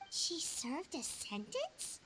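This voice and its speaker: A woman's voice: sits high, wildly swooping pitch, slow, sharp tone, whispered, exaggerated voice, poor audio. A woman voicing a small, earnest girl creature, a devoted young apprentice, in a sweet childlike video game voice. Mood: curious. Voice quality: childlike, tiny voice.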